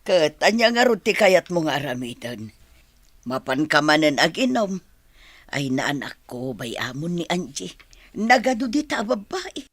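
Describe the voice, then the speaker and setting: A woman playing a worried, nagging middle-aged mother in a radio drama, with a husky voice and a Filipino accent. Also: low-pitched, sing-song, measured pace, dry recording, heavily acted. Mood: scolding.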